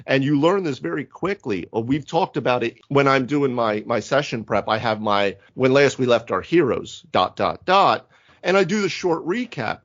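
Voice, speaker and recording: A man's voice: medium pitch, animated, moderate pace, fairly bright tone. A man co-hosting a casual tabletop gaming podcast, explaining at length and with emphasis. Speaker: podcast co-host. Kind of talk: casual panel discussion on tabletop role-playing. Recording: dull, band-limited audio.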